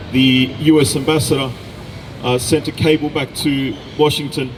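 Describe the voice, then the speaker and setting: A middle-aged man from Chile delivering a deliberate, emphatic political speech at an outdoor rally. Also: mid-range voice, rough recording. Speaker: speaker at a rally, researcher. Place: outdoor public gathering in a city. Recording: outdoor rally with background noise.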